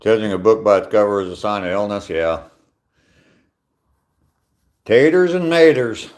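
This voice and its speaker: A man's voice: lowish voice, expressive, a little slow, darkish tone. A man in his sixties on a live stream, reading out viewer comments and answering them in a chatty, easygoing way with dry humor, talking into his phone while out on a walk. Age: 60s. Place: outdoors on a walk.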